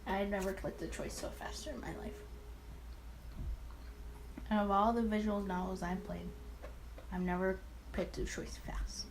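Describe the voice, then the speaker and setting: A woman's voice: medium pitch, steady tone, measured pace, pauses often, background noise. A woman doing a casual let's-play of a visual novel, gushing in informal asides. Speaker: video game player reading a visual novel aloud.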